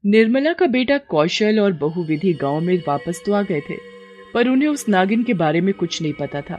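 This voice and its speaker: A woman's voice: mid-range voice, lively intonation, normal speed, darkish tone, dull audio, faint music behind. A woman reading a scripted story as its narrator.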